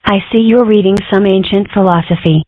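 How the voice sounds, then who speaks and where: A woman's voice: medium pitch, a little fast, dry recording, natural voice. Woman voicing a matter-of-fact cartoon girl, phone-like sound. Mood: calm. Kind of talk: comic strip dub. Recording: muffled phone-like band.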